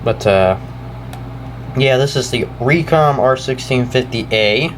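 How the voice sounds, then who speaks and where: A young American man giving a casual, off-the-cuff show-and-tell, talking in a halting, rambling way and often correcting himself. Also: medium pitch. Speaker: hobbyist video creator. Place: indoors in a house. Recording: noisy room with air-conditioner hum.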